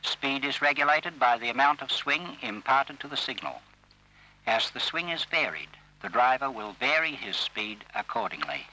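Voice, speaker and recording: A man reading scripted instructional narration for an old training film, in a formal, measured and instructive manner. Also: medium pitch, lively intonation, sharp tone. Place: voice-over recorded for film. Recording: old scratchy railway training film soundtrack.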